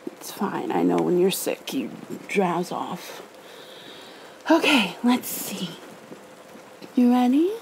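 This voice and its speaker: A woman speaking in a hushed, tender, nurturing way, as in an ASMR bedtime roleplay and reading. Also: fairly low pitch, big pitch swings, takes it slowly, gravelly, long pauses, rough recording. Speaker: ASMR roleplayer, storybook reader. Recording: faint rain-like background noise.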